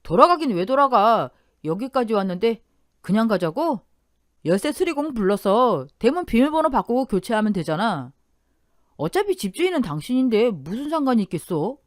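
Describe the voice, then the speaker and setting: A brisk, matter-of-fact husband, read by a woman narrator, with a slightly raised, lively voice. The sound is dry and close, with no room around it. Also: on the high side, no room sound. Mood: impatient. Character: blunt practical husband, adult man.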